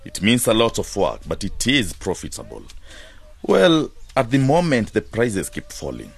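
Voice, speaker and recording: A man's voice: on the low side, wildly swooping pitch, normal speed, vocal fry, husky, full character voice. An actor playing a proud, hard-headed farmer and businessman in a radio drama, self-assured and matter-of-fact.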